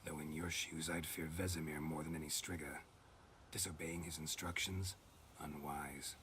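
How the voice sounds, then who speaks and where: An actor playing a terse, dry-witted monster hunter in a video game, with a gravelly near-whisper. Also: male voice, rumbling low, moderate pace, a little hiss or hum. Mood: stern, instructing. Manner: terse, deadpan. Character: gruff, dry-witted adult male monster hunter mentor. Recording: loud game music underneath. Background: loud music.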